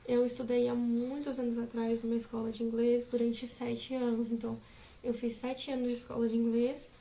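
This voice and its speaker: Young woman, twenties, chatty, giggly, rambling, higher voice, faint background hiss. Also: level tone, moderate pace, creaky, dark tone. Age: 20s. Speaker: vlogger. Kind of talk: casual chat to camera.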